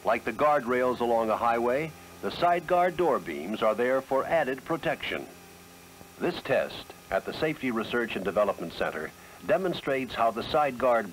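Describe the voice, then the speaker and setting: A man's voice: mid-range voice, a little hiss or hum, rough recording. An American man reading scripted 1970s promotional narration with a polished, emphatic announcer delivery, on a vintage film soundtrack.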